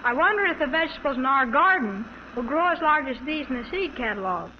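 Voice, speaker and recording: An eager 1940s wife planning her garden in an instructional film. Her voice is high, on a dull, noisy old soundtrack. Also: lively intonation, quick pace.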